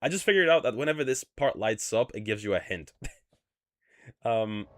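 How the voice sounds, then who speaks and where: A man from Poland with a mixed British and American accent, chatting and thinking out loud while streaming a game. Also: on the low side, expressive, moderate pace, dry recording, studio quality. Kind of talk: casual gaming livestream chat. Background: music.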